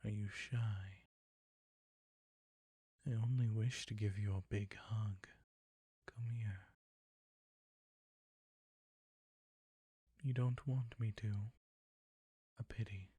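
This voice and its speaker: Man playing a low, husky, possessive vampire count. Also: fairly even intonation, slow, long pauses, mellow, no echo, full character voice. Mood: smug, coaxing menace. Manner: theatrical, formal, condescending endearments, often dropping to whispers. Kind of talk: intimate scripted roleplay audio, ASMR-like.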